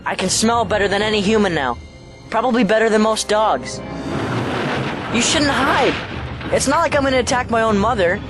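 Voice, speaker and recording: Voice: medium pitch, animated, normal speed, long pauses, natural voice. An actor playing a possessed teenage boy in an audio drama, swinging between needy pleading and cold taunting. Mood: menacing, taunting. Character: possessed teenage boy, menacing yet pleading with his mother. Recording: music under the voice.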